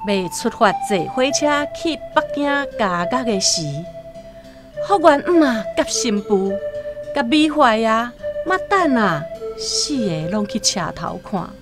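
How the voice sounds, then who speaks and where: A woman tells a scripted radio story in a Taiwanese accent. Her delivery is slow and deliberate, with dramatic, wide swings in pitch. Music plays clearly behind her. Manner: expressive, deliberate. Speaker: narrator.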